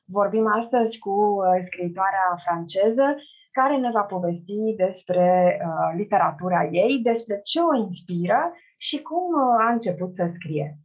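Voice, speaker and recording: A woman's voice: mid-range voice, expressive, measured pace, muffled recording. A woman interviewing an author, speaking hesitantly with many fillers and frequent laughs. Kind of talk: book-fair interview.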